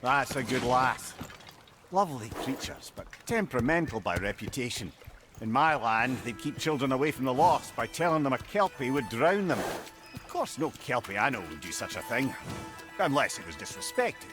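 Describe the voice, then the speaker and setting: Man acting a lively Scottish old advisor. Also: mid-range voice, normal speed, natural voice. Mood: wry, genial. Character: witty, talkative, learned old male advisor. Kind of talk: dramatic video game acting. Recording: music behind.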